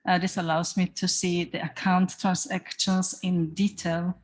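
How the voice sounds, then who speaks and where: A woman whose first language is German, presenting a formal financial report as an association's treasurer, in a measured and careful way. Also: lowish voice, flat delivery.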